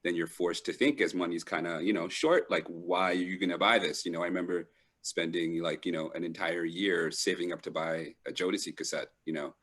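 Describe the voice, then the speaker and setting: A middle-aged man speaking reflectively as an interview guest, pausing often to find his words. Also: sits low, fairly bright tone. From Trinidad and Toronto, Canada. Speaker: panel guest. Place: live online video call.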